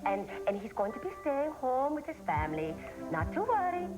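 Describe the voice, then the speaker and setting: An actress playing a playful, scheming secretary in a television soap opera, talking in halting, theatrical patter. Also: female voice, lowish voice, expressive, over music, natural voice, rough recording. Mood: reassuring. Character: scheming, playful woman, a secretary improvising phone ruses.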